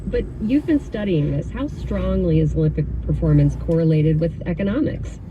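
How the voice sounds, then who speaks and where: A female radio host with a slightly low voice asks questions in a smooth, conversational way. The sound is muffled and lo-fi, with clear background noise. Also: animated, normal speed. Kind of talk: radio interview, introducing and questioning. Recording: dull, noisy broadcast audio.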